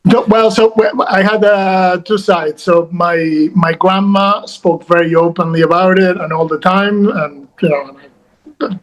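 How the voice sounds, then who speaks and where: A man speaking as a guest author in a video-call interview, halting and full of fillers and restarts. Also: sits high, steady tone, rough recording. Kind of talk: conversational interview.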